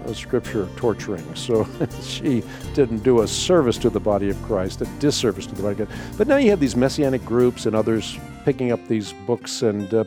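A middle-aged man whose first language is Dutch, hosting a call-in radio show and answering questions emphatically, often repeating words as he thinks. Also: lowish voice. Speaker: radio host. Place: radio studio.

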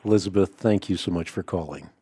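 A man hosting a call-in radio show, answering a Bible question in a measured, explanatory way. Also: low-pitched, mellow, husky, no room sound. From Netherlands. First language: Dutch. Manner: explanatory, measured, frequent uh fillers.

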